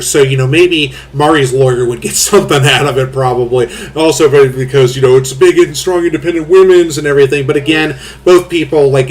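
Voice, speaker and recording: Rambling, blunt man with a booming voice. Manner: rambling, filler-heavy, blunt and crude. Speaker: host. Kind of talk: casual commentary chat.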